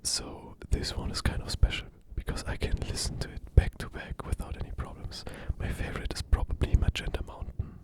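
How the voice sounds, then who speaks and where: A man with a German accent doing a casual whispered ASMR monologue, gently and with pauses. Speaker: ASMR creator.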